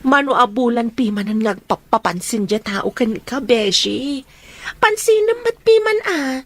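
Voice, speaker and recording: High-voiced teasing young Filipino woman played by an actress. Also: expressive, a little slow. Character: Arlene, teasing young female best friend. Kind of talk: radio drama acting.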